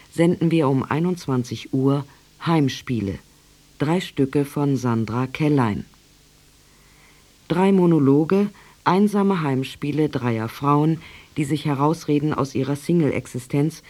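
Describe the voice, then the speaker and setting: A woman's voice: low-pitched, a little mellow. A woman with a standard German accent reading a scripted radio programme preview as an announcer.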